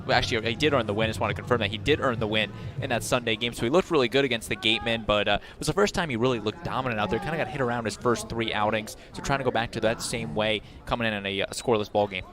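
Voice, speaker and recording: A young American man works as color commentator on a live baseball radio call. His voice is on the low side and he talks quickly, as an analyst filling in between plays. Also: crowd noise. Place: outdoor baseball stadium broadcast.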